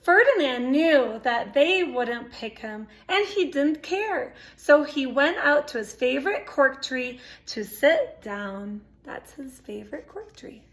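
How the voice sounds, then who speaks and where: A woman's voice: high-pitched, lively intonation, slow, hardly any pauses. A woman reading a picture book aloud to young children, expressively, with exclamations, asides and questions to her listeners. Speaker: storytime reader.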